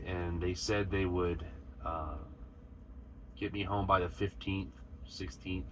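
Brief: deep-voiced American trucker, relaxed rambling vlog talk, bright forceful tone. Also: male voice, slight background noise. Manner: relaxed, rambling, talks to camera while cooking. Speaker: truck driver vlogger. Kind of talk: casual vlog chat while cooking. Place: inside a truck cab at a truck stop.

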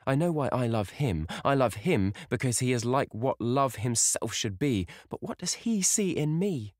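A man's voice: mid-range voice, expressive, moderate pace, no room sound, studio quality, pitched above the performer's own voice, close to an everyday voice. A man voicing a young girl in love, eager, proud and pleading, in a breathless way. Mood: yearning.

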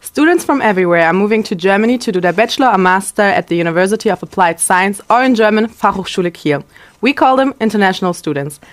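A woman hosting a campus TV interview, reading a scripted intro and asking friendly questions briskly. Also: mid-range voice, lively intonation.

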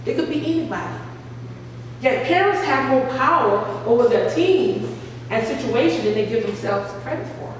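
Emphatic woman author in an echoey cafe. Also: fairly high pitch. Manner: emphatic, repeats words for stress. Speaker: author at a book signing. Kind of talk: speaking to a small audience and reading aloud. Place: small art cafe, indoors. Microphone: far camera, no mic. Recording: echoey room from far off with noise.

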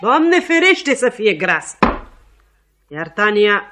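High-voiced gossiping household servant. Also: male voice, sing-song, bright, forceful tone, a little hiss or hum, heavily acted. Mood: gossipy. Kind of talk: Romanian radio theatre acting. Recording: 1950s radio theatre recording.

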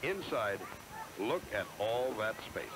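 A man's voice: fairly low pitch, sing-song, slow, poor audio. An American man reading scripted promotional narration in a polished, emphatic salesman style, on a 1970s film soundtrack. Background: music.

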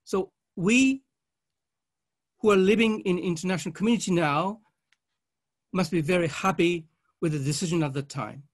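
Guy w high voice, doing a formal conference lecture, careful and halting, short phrases. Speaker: conference speaker, legal academic. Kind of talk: formal academic conference presentation read with slides.

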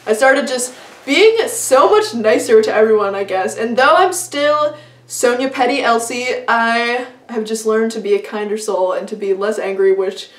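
A woman's voice: on the high side, moderate pace, reverberant, recorded from a distance. A young woman talking to camera in a casual, upbeat vlog, chatty and full of fillers. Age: young adult. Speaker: vlogger. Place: small indoor room before a plain wall.

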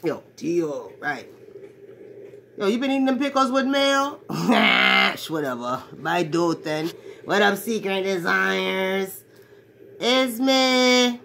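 A woman's voice: medium pitch, lively intonation, takes it slowly, vocal fry, a bit bright, slight background noise, heavily acted. A woman playing a brash, crude man in his forties who talks like a swaggering ladies' man, rambling to a phone camera in a small bedroom. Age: 40s. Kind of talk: comedic character monologue to camera. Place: small cluttered bedroom indoors. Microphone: phone at arm's length.

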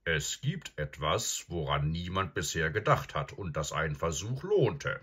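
A grave old professor acted out by a man, low and husky, calm and probing. He ponders each point with absent-minded hums, as in a storybook reading. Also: heavily acted. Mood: murmuring, earnest. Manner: deliberate, pondering, with hums and murmurs. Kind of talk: audiobook character acting.

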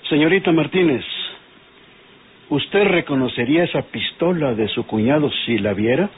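An actor playing a polite, methodical police inspector in an old radio drama, on a tinny, noisy recording. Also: male voice, fairly high pitch, lively intonation, moderate pace, natural voice. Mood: methodical, probing. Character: courteous, probing male police inspector. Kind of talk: old Spanish-language radionovela acting.

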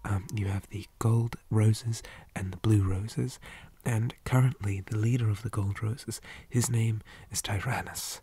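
A man playing a shy, polite zombie boy in an ASMR roleplay, speaking softly, often in whispers, hesitant and flustered with old-fashioned manners. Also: fairly low pitch, steady tone, a little slow, hardly any pauses, a little hiss or hum. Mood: nerdy, eager. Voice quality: soft, breathy, often whispered.

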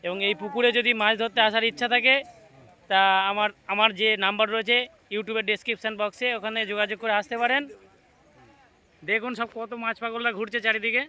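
Fast, bright, excited vlogger man. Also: high-pitched. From West Bengal, India. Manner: excited, addresses viewers directly. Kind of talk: casual running vlog commentary to viewers. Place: outdoors beside a pond.

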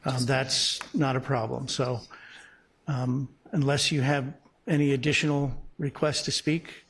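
Husky man chairing a public meeting. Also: medium pitch, little pitch movement, measured pace. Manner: polite, procedural. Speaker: board chair.

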